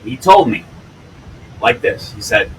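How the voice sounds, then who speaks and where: A man's voice: mid-range voice, big pitch swings, a little slow, fairly bright tone, a little hiss or hum, rough recording. Blunt man in his fifties. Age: 50s. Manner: blunt, earthy, keeps asking "right?". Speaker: police use-of-force instructor. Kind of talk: storytelling in an interview.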